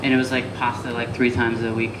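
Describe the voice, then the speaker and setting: A young man from Connecticut, a chef, answering interview questions in a relaxed, rambling way with many fillers, at a live cafe talk. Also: medium pitch, a little fast, distant mic. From Connecticut, USA. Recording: echoey room with background noise.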